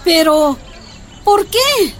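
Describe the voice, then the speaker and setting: An actress playing a weak, wounded miner's wife in a radio drama, plaintive and emotional. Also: female voice, extremely high pitch, sing-song, extremely slow, full character voice. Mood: dismayed.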